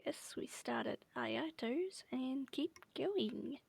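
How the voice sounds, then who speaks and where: A woman's voice: high-pitched, expressive, slow pace, gravelly, slight background noise. A woman chatting casually as she plays a game on a livestream. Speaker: game streamer. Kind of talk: casual livestream chat while gaming. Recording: loud game music behind. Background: video game music.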